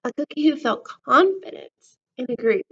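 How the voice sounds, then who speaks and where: Woman voicing an earnest high-voiced storybook cookie. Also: normal speed, breathy edge, muffled recording, full character voice. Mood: wistful. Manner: friendly, expressive storytelling. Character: young cookie, earnest, self-doubting storybook narrator.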